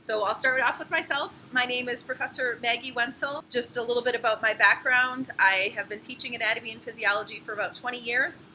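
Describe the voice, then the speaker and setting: Bright-voiced woman professor. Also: fairly high pitch, fairly even intonation, fairly quick, hardly any pauses, slight background noise, rough recording. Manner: friendly, explanatory, laughs now and then. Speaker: anatomy and physiology professor. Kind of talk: course introduction video.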